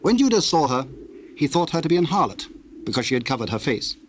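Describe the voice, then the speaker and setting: A man's voice: fairly high pitch, sing-song, fast talker. Solemn male scripture narrator. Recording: hissy old cassette tape, birdsong behind.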